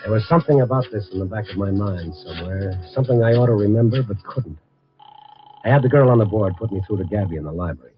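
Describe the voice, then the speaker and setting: An actor playing a wry, world-weary Chicago newspaper reporter who also narrates, in 1940s radio drama style with a dry, sardonic manner. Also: male voice, fairly low pitch, animated, quick pace, close to an everyday voice. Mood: hard-boiled narration. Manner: dry, sardonic, hard-boiled. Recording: muffled vintage radio recording with music.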